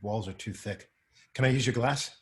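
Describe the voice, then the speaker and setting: A man's voice: medium pitch, moderate pace, natural voice. A man acting a wry, practical tech expert in a table read. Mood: wry, focused.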